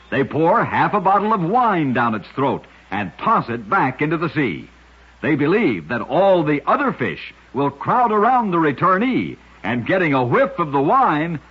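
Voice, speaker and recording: A husky man narrates scripted oddities as an old-time radio host. His voice moves with lively pitch in a measured, dramatic announcer's style. The sound is muffled and dated, like an aged broadcast recording.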